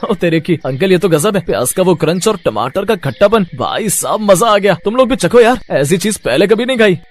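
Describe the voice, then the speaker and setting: Man voicing a high-pitched, excitable college lad. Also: a little fast. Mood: amazed, delighted. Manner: excited, slangy. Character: excitable, friendly young male college student. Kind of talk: Hindi cartoon moral story voice acting.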